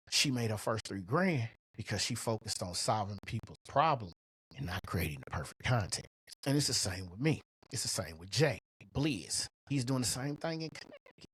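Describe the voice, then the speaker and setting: A middle-aged man with a husky voice hosting a motivational business podcast, speaking in a colloquial, emphatic, punchy way on a close microphone. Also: fairly high pitch, a bit bright, no room sound. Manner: colloquial, emphatic, punchy delivery with rhetorical questions. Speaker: podcast host and coach.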